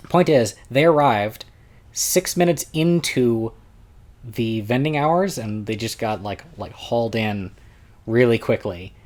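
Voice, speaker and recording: A man's voice: medium pitch, lively intonation, slow pace. Chatty young man rambling through a story. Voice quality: loud voice. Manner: rambling, self-interrupting, chatty. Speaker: vlogger recounting a convention. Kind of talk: casual storytelling vlog. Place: at home with his cat.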